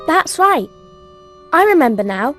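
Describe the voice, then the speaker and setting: Plucky young game heroine, polite n earnest, high voice, bit of music under it. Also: female voice, big pitch swings, a little slow, fairly bright tone, full character voice. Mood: curious. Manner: earnest, courteous. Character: brave, quick-witted, polite young girl heroine. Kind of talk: video game cutscene acting.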